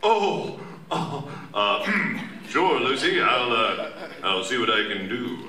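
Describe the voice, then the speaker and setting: An actor playing a wisecracking, mock-heroic young radio hero in a live parody of an old-time radio play. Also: male voice, medium pitch, sing-song, takes it slowly. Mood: flustered. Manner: hammy, earnest mock-heroic. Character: wisecracking masked superhero posing as swell young male reporter. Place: convention stage before an audience. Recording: echoey distant stage, noisy crowd.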